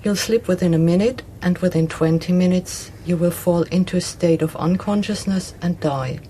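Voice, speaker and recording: A woman acting a composed clinic worker in a radio drama. She has a dark, mellow, lowish voice and speaks softly and with clinical measure. Faint ambience sits behind her. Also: normal speed, slight background noise, close to an everyday voice. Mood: clinical, calm. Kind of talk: radio play acting, procedural explanation.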